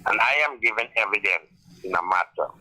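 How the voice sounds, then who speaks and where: Low halting male caller, forceful tone. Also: poor audio. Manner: halting, loses his words.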